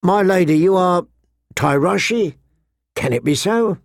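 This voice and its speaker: Fawning, wheedling little wine seller for a fantasy audiobook, played by a man, raised pitch, florid patter. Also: lively intonation, takes it slowly, no room sound, clean studio sound, full character voice. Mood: astonished, fawning. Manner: florid, obsequious salesman's patter. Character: small slender male wine merchant, fawning and wheedling.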